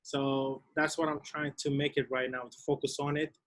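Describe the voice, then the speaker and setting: A young man from Turkey with a Turkish accent, speaking English as a second language in a video-call interview about his DJ and producing work, often pausing to search for words. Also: medium pitch, level tone, fairly bright tone. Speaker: DJ and music producer.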